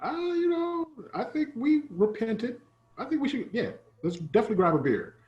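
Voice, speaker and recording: A man playing a hapless, sheepish everyman in improvised comedy, awkward and halting, recorded with a roomy, dull sound. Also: very high-pitched, sing-song, darkish tone, pitched above the performer's own voice. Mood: sheepish, chatty. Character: hapless, sheepish dead everyman named John.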